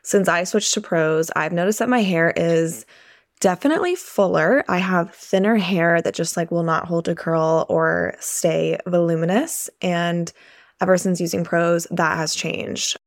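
A woman's voice: fairly low pitch, a little slow, no echo, studio quality. A woman giving a scripted ad testimonial in a chatty, persuasive way. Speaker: ad testimonial speaker.

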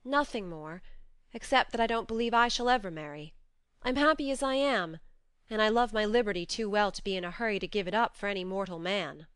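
A woman's voice: mid-range voice, fairly quick, smooth, no echo, natural voice. A woman voicing a frank, resolute but tender young woman in an audiobook, earnest, imploring and firm. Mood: solemn, insistent. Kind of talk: audiobook character voice.

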